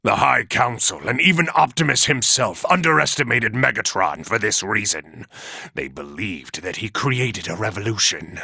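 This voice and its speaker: A giant war robot from a video game, a male military tactician used to command. The voice is heavily gravelly and rasping, with a hard, cutting edge. He is impatient and forceful, and his pitch moves widely. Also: lively intonation, moderate pace, dry recording, exaggerated voice. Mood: measured, reflective. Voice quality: gravelly, raspy. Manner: forceful, barking orders. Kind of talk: video game character acting.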